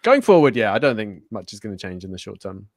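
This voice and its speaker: A man's voice: on the low side, very animated, fast talker, dry recording. Easygoing man co-host, dry close sound. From born in Germany, raised in Spain. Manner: laughs often, self-correcting. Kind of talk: casual chat.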